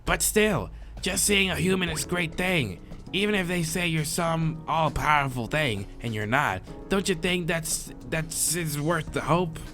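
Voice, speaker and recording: A laid-back moth monster with a high-pitched surfer drawl, acted out by a man. He is slangy and friendly, a philosophical game character. Soft music plays behind him. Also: lively intonation, a little slow. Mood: laid-back, animated. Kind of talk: visual novel character read aloud.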